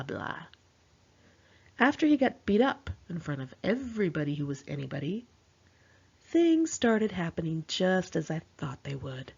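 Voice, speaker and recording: An American woman narrates a personal memoir in a low, husky voice. She sounds conversational and slangy, like an author reading her own informal story, and acts out quoted dialogue. The recording is a slightly dull, lo-fi home take.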